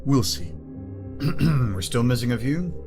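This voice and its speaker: An actor playing a smug, condescending corporate executive with curt, sarcastic politeness in an audio drama. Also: male voice, mid-range voice, lively intonation, takes it slowly, over music.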